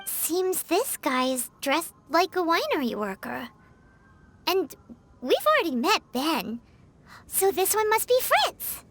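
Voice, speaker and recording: A woman's voice: very high-pitched, very animated, slow pace, fairly bright tone, a little hiss or hum, heavily acted. A woman voicing a tiny, childlike, eager floating companion in a video game. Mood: matter-of-fact, chirpy. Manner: speaks of herself in the third person. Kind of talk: animated game character acting. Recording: game dub over clear background music.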